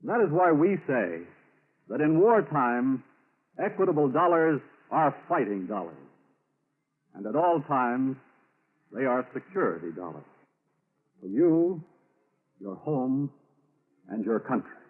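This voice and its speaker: A man reading scripted announcements in a formal, measured, emphatic 1940s radio style. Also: medium pitch, big pitch swings. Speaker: radio announcer and sponsor spokesman. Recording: narrow-band 1940s radio recording.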